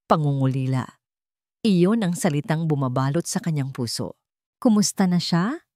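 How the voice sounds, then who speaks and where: A woman narrating a scripted romance story in a measured, sentimental, dramatic way. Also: on the low side, darkish tone, no room sound, clean studio sound.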